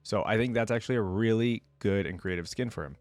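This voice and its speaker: A man's voice: fairly low pitch, fast talker, darkish tone, no room sound. A man giving casual, off-the-cuff reaction commentary as a video host, thinking aloud in an informal, wryly bemused way.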